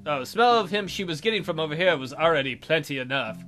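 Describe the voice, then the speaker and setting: A man with a bright, slightly high voice reads a visual novel aloud for a let's play. He is playful and irreverent, dropping in joking asides. Faint guitar music plays behind him. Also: expressive, quick pace.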